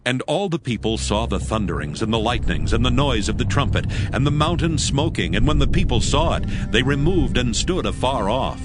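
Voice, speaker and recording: A man's voice: medium pitch, lively intonation, brisk, few pauses. A man narrating scripture as a scripted dramatic reading. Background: music.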